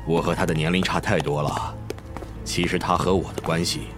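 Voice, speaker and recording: Actor as seasoned survivor chief. Very low, measured, serious, audio drama over clear music. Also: male voice, steady tone, lightly acted. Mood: calm, fond. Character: grave, seasoned male leader of wandering survivors. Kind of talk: Chinese audio drama acting.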